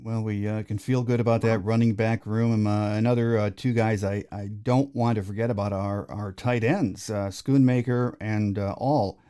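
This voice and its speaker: Older male podcast host, folksy sports interviewer, dark and relaxed tone, tags questions onto his points. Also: fairly low pitch, moderate pace, few pauses, smooth. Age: 60s or older.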